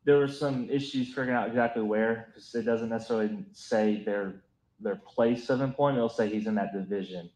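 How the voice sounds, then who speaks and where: A man, a police officer, testifying on the witness stand, answering in a measured, polite way and often saying "yes, ma'am". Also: lowish voice, a little mellow. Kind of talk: courtroom testimony. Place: courtroom. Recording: echoey courtroom audio.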